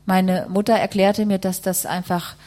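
Woman interpreter, medium pitch, phrase-by-phrase delivery, live testimony, rewording on the fly. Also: little pitch movement, moderate pace, mellow.

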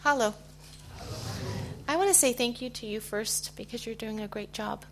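A middle-aged woman with an American accent giving a personal testimony in short phrases, pausing for an interpreter. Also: on the high side, slight background noise. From United States. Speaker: guest speaker giving testimony.

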